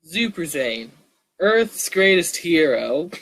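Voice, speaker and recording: Male live storyteller, fairly high voice, informal reading with small stumbles and restarts. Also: sing-song, slow pace, fairly bright tone, poor audio. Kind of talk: live story reading, animated narration with casual asides.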